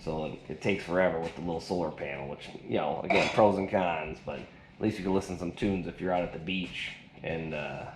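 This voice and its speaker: A man's voice: low voice, fairly quick. A man giving a casual hands-on gadget review in a rambling, colloquial way, speaking indoors. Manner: rambling, colloquial, folksy slang. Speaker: product reviewer. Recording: echoey room with loud noise.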